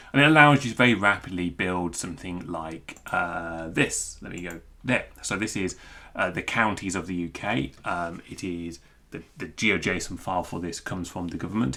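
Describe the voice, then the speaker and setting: A man's voice: low voice, normal speed, bright, forceful tone. A British man giving an informal, unscripted technical explanation, conversational and digressive as he thinks aloud. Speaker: amateur radio hobbyist and programmer presenting a talk.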